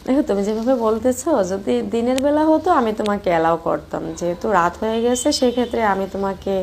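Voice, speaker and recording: A woman's voice: fairly high pitch, fast, a little mellow, close to an everyday voice. A woman playing a coy, evasive married sister-in-law in a colloquial phone-call drama, chiding as she talks. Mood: gentle, advising. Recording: faint background noise.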